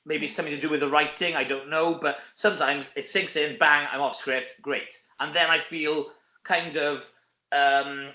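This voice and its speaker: A Welsh man, an actor being interviewed, chatting casually in an animated, self-deprecating, joking way. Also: a little high, moderate pace. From Wales. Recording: dull, echoey room sound.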